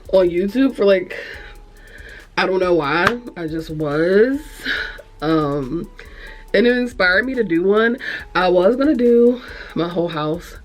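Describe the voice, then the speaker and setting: A woman's voice: medium pitch, darkish tone. A young woman with a congested, nasal voice, rambling frankly to camera in a room at home, easily distracted and cursing. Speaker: vlogger. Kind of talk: casual chat to camera.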